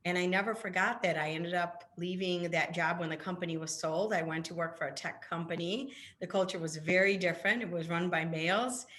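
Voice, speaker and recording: A Polish-born woman with a slightly low voice, whose first language is Polish, speaks as an interview guest. She tells her own story earnestly, circling back and restarting phrases as she goes. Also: fairly even intonation, a little fast, hardly any pauses, fairly bright tone. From Poland. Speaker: guest, business founder. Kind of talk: interview conversation.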